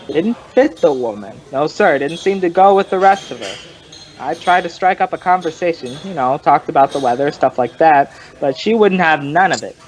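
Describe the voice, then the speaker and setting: An actor playing a folksy, rambling male informant who tells his story in colloquial, drawn-out fashion, in old-time radio crime drama style. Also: a little high, lively intonation, a little hiss or hum, lightly acted.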